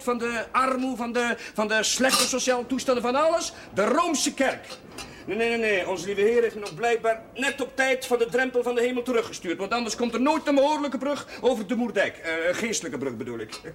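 A man playing a warm, talkative and idealistic young village chaplain in a television drama, hesitant and musing but easily worked up. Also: very high voice, animated, a little fast. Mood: indignant, fervent. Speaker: actor in a television drama series. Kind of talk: naturalistic television drama dialogue. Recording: noisy old TV soundtrack, clattering dishes.

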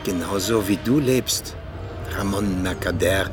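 An actor playing a cynical, disillusioned Soviet agent and former mentor in a radio drama, confessing in weighty, deliberate phrases. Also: male voice, low-pitched, music in the background. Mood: weary, resigned. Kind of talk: German radio drama acting.

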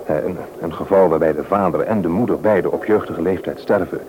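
Actor as low-voiced, sensible husband. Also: male voice, fairly quick, lightly acted. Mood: earnest, hopeful. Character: sensible married man, hopeful and measured. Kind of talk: radio drama dialogue. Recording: noisy old radio recording.

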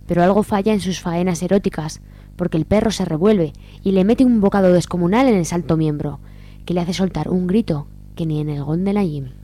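Mellow, low-voiced woman narrator. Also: slight background noise. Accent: Spanish, from Spain. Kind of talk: scripted radio serial narration.

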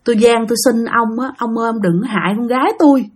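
A woman's voice: on the high side, quick pace, breathy edge. A woman voicing a frightened grandmother who begs a spirit to spare her daughter. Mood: pleading. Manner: pleading, tearful.

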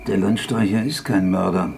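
An actor playing an embittered, stubborn goat farmer, gruff and emphatic, in a naturalistic radio drama. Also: male voice, low-pitched, normal speed, darkish tone. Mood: bitter. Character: embittered, stubborn goat farmer and family man, hot-headed.

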